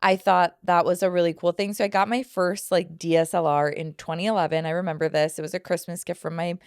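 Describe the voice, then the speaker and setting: A woman's voice: fairly low pitch, flat delivery, fast talker, darkish tone, clean tone, no room sound, studio quality. A woman in her early thirties, partly raised in Ecuador, co-hosting a casual podcast and telling a personal story with playful crude jokes. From family from Ecuador, grew up there in part. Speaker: podcast co-host and photographer.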